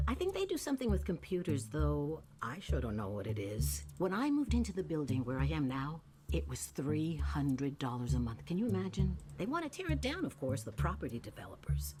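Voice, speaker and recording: A woman voice actor playing an eccentric, streetwise older woman who rambles in a folksy, suspicious way in a video game. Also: sits low. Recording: game music behind the voice.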